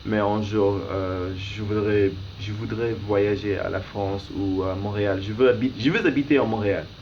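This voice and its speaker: A young man in his early twenties from the United States, a native English speaker with an American accent, talking casually and haltingly as a language learner answering questions he reads to himself. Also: fairly low pitch, fairly even intonation, darkish tone. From United States, raised in Florida. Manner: halting, searching for words. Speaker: language learner making an accent tag video. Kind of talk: casual self-interview to camera. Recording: muffled lo-fi home recording with noise.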